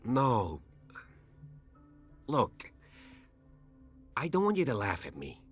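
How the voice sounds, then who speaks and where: A man's voice: mid-range voice, huge pitch swings, slow, faint music behind. An actor playing a troubled, sleepless, self-conscious male patient in a video game, speaking hesitantly and broodingly. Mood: awkward, defensive. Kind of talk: video game cutscene acting.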